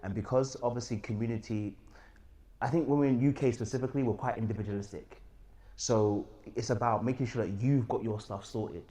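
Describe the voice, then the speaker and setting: A man from Birmingham talking frankly and relaxed in a casual panel discussion. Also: mid-range voice, a little fast. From Birmingham, England.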